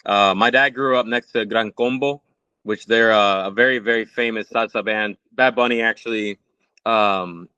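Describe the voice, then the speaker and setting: Male musician in his thirties, smooth resonant voice, casual interview guest, close dry but slightly lo-fi sound. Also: lowish voice, fairly even intonation, normal speed, bright, forceful tone, dry recording. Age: 30s. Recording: slightly dull, lo-fi audio.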